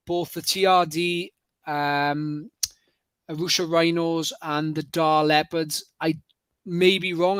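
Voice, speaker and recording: A man's voice: sits high, fairly bright tone, no echo. A man with a Welsh accent leading a committee call, chatty and repeating words as he starts sentences. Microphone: online call.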